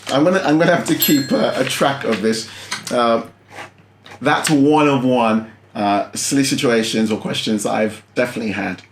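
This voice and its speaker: A man's voice: mid-range voice, normal speed, a little hiss or hum. A man from London hosting a food channel, chatting casually and laughing often as he reacts to a video. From London, England. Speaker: YouTube food channel host. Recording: roomy room sound.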